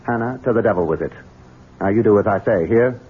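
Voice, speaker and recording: Husky, menacing Southern gentleman. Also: lowish voice, quick pace. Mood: curt, scheming. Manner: mock-courteous, domineering. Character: sly, menacing Southern gentleman son, falsely sweet. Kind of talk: 1970s radio mystery acting. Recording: muffled, tinny old radio broadcast.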